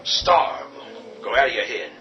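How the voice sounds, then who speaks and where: A man's voice: medium pitch, sing-song, unhurried, creaky, slight background noise. An actor playing a hard, commanding man who defends a boarded-up farmhouse and turns to menacing whispers. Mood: firm. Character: tough defiant man holding a farmhouse. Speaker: Ben. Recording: dull distant audio over music.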